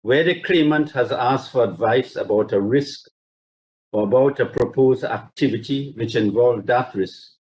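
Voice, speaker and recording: Deliberate, formal male barrister. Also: medium pitch, a little mellow, poor audio. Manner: deliberate, measured, courteous and formal. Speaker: barrister addressing a court. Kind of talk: formal legal submission, quoting judgments. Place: remote court hearing over video link. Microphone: video call.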